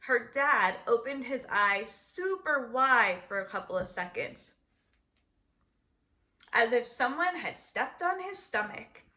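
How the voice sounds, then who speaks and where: A woman storyteller reads a children's book aloud. Her voice is fairly high and very bright, and she places emphasis deliberately and expressively. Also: very animated.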